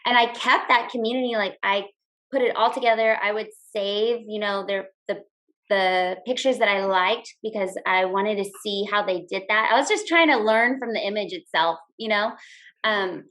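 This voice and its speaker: A woman who is a teacher and blogger, a podcast guest speaking in a relaxed, upbeat way, full of "like" and "you know". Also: medium pitch, moderate pace. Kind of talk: casual interview chat.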